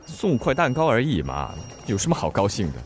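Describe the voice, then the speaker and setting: A cynical young delivery guy in a radio drama. He is sarcastic, whiny and theatrical, and his pitch swings wildly as he teases and grumbles. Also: huge pitch swings, fairly quick, slight background noise. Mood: grumbling.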